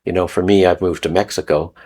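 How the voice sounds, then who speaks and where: A man's voice: low-pitched, fairly even intonation, quick pace, darkish tone. A man from Canada giving a rambling, casual spoken teaching talk, repeating phrases and chuckling often. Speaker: video host. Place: lives in Mexico.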